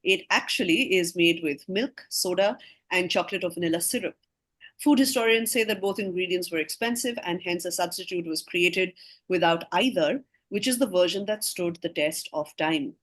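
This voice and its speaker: A woman's voice: fairly low pitch, normal speed, fairly bright tone. A woman quizmaster reading scripted questions aloud, with casual asides to the players.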